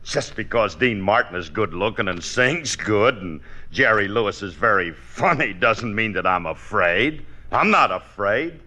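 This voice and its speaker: An actor playing an insecure, boastful bandleader husband in a 1950s radio comedy, with brash, folksy, ungrammatical speech. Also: male voice, on the low side, animated, a little slow, hardly any pauses, bright, forceful tone, heavily acted. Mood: indignant, worried. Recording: noisy old radio comedy recording.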